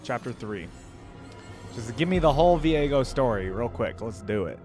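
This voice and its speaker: A man's voice: medium pitch, expressive, normal speed, a little mellow, faint music behind. A man hosting a reaction video, commenting casually and thinking aloud with curiosity.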